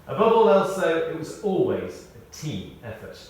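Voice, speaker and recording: A man reading a prepared tribute aloud in short, measured phrases, with wry storytelling. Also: fairly high pitch, wildly swooping pitch, darkish tone. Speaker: tribute speaker. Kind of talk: read-out memorial tribute with anecdotes. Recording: echoey hall, distant, faint noise.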